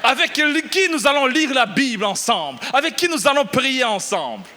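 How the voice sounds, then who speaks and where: A middle-aged pastor from Burundi preaching a lively sermon, exclaiming and shouting at the peaks. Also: male voice, very high voice, moderate pace. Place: church.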